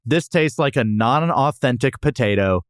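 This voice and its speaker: Male podcast host, lively and opinionated, casual jokey food review chat, close dry sound. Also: mid-range voice, moderate pace, smooth, dry recording.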